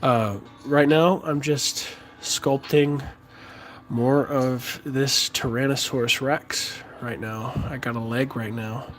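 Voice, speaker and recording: A man with a raspy, hoarse voice after being sick, chatting casually on a livestream with halting speech and many fillers while he answers viewers. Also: mid-range voice, steady tone. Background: music playing softly.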